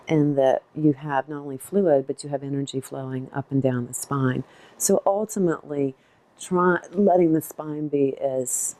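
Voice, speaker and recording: Female meditation teacher, notably low and warm tone, gentle off-the-cuff instruction, reassuring delivery.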